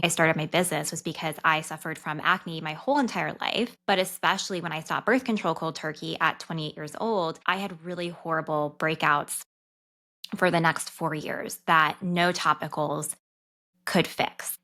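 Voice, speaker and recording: A woman's voice: fairly low pitch, sharp tone. A woman in her thirties hosting a podcast, talking candidly and casually about a personal experience. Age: 30s. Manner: candid, chatty, fast-talking. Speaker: podcast host and dietitian.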